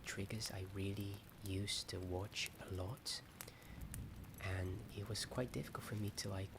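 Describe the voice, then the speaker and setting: A man whispering a hesitant, rambling ASMR chat in a soft, often breath-only voice. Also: on the low side, steady tone, unhurried, vocal fry. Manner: hesitant, rambling, self-deprecating. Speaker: ASMR video host. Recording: strong ambient noise behind whisper. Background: added ambience sound.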